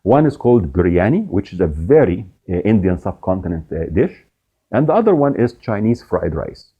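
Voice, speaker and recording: A middle-aged man from Egypt hosting a show, telling a personal story in an animated way with laughs along the way. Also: fairly low pitch, moderate pace, husky, narrow, tinny audio. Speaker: podcast host. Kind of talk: storytelling monologue.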